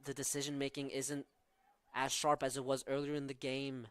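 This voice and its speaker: A man's voice: mid-range voice, level tone, moderate pace, a bit bright, smooth, no echo. A young man from southern Norway with a Norwegian accent, calling live college soccer with tactical analysis and frequent fillers. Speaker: play-by-play commentator.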